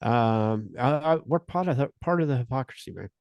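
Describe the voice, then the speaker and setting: A middle-aged man hosting a casual podcast chat, rambling and halting as he talks. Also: mid-range voice, lively intonation, darkish tone, dry recording, highs cut off. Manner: rambling, halting, restarts often. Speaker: podcast host.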